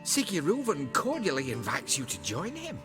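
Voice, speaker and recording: High, lilting, courteous attendant. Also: male voice, huge pitch swings, normal speed. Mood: polite, ceremonious. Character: formal, courteous male bathhouse attendant. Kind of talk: video game cutscene acting. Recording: music under the dialogue.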